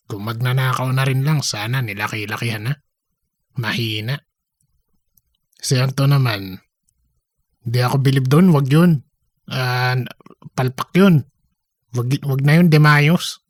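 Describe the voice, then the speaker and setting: A man's voice: medium pitch, no room sound, natural voice. Man acting a cocky, gossipy office braggart, dry sound. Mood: cocky, scornful. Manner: cocky, gossipy, repeats himself. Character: boastful philandering male office worker bidding to be manager. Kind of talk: comedic audio story dialogue.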